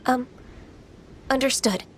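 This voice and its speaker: Soft polite game heroine, kinda high voice, earnest n formal, music behind. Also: female voice, slow. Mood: hesitant, apologetic. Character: gentle, earnest, formal young half-human adeptus woman. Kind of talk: English video game voice acting.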